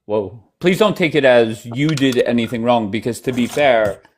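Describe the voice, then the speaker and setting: A man hosting a casual livestream chat, blunt and quick to cut in on his guests. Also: mid-range voice, noisy background. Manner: blunt, apologetic, talks over guests. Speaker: livestream host. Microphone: headphones in, at home.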